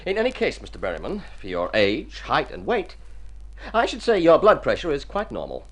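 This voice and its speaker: A man's voice: on the high side, wildly swooping pitch, moderate pace, rough recording. A man playing a formal, dry, self-interested doctor in a radio drama, precise with small hesitant hems. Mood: formal, clinical. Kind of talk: mid-century radio drama acting.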